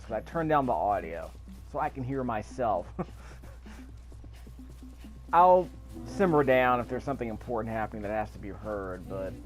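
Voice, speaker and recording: A man giving casual commentary over video gameplay, with an offhand, dryly skeptical way of talking. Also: medium pitch.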